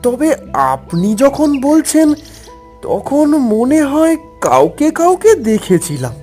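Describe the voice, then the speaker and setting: Actor playing nervous boastful cartoon garden guard, swooping pitch, flustered exaggerated delivery, obsequious, faint music. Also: wildly swooping pitch, takes it slowly, darkish tone, full character voice. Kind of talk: animated fairy tale voice acting.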